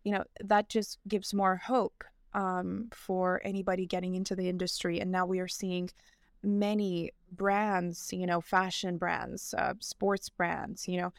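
A woman's voice: lowish voice, no echo, studio quality. A young adult woman answering interview questions in a casual, earnest way, with frequent fillers and restarts. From United States. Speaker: interview guest, fashion founder.

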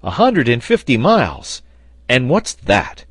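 Man acting a hearty, excitable sailor, swooping pitch. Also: normal speed, slightly rough, no echo, clean studio sound. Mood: excited, eager. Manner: emphatic, exclamatory, bluntly confident. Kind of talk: audiobook character dialogue.

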